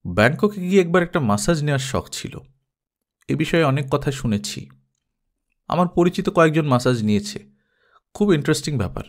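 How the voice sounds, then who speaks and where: A man's voice: a little high, lively intonation, fairly quick, long pauses. A man playing a rambling, oblivious elder brother. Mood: wistful, chatty. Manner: rambling, matter-of-fact. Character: talkative middle-class elder brother, wistful, oblivious, easily puzzled.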